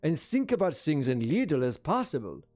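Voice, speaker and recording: Man acting German colonel, storybook reading, pompous, pedantic, emphatic, patriotic, slightly high male pitch. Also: very animated, moderate pace, darkish tone, faint music behind. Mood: fervent.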